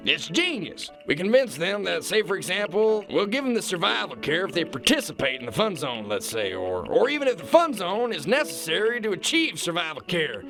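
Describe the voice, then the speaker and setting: A man acts out a smug kingpin in a satirical audio play. The voice sits high for a man, swings widely and crackles with fry. He talks like a pompous, folksy dealmaker, over music. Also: very animated, brisk, hardly any pauses. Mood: scheming, persuasive. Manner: pompous, folksy, wheeling and dealing. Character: smug, pompous male kingpin scheming over capital gain.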